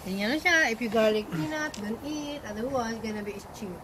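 A woman's voice: fairly high pitch, a little fast. Filipino-accented woman cooking outdoors. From Philippines. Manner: chatty, switches between English and Tagalog. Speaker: cooking vlog host. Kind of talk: casual cooking demo. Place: small back garden, outdoors. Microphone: camera a few feet away. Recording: outdoor recording with clear background noise.

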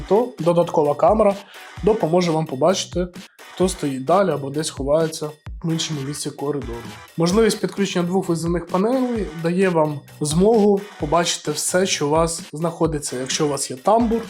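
A man's voice: a little high, darkish tone. A man presenting a product review in a casual, explanatory walkthrough style, with music playing under his voice. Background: background music.

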